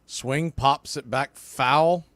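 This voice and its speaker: A man's voice: mid-range voice, very slow, a bit bright, no echo. A man giving live radio play-by-play commentary of a baseball game as the announcer.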